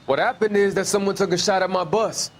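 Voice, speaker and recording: A man's voice: high-pitched, a little fast. An actor playing a defiant, wary Mexican-American ex-serviceman in a 1940s crime video game, streetwise and guarded. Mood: indignant. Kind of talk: dramatic video game acting.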